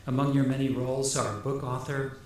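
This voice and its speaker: A man's voice: medium pitch, little pitch movement, a little fast, darkish tone. Male, formal ceremonial reader of an award citation, heavy room echo, faint background noise. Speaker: provost reading an award citation. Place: large ceremony hall. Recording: very echoey hall, faint noise.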